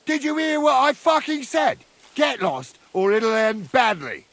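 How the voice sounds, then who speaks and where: A man playing a menacing, foul-mouthed medieval thug in a video game, barking threats in a strained, gravelly voice. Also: very high voice, very animated, a little slow, a bit bright, no echo, exaggerated voice. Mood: threatening. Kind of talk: video game cutscene acting.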